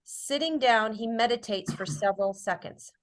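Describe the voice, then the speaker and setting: A woman's voice: on the high side, moderate pace, poor audio. A woman reading stage directions as scripted narration at a drama table read.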